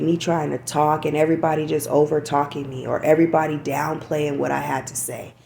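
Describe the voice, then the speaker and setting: Low, gravelly woman speaking candidly. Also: mellow, slight background noise. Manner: candid, repeats "you know what I'm saying". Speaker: podcast host. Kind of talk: reflective personal monologue.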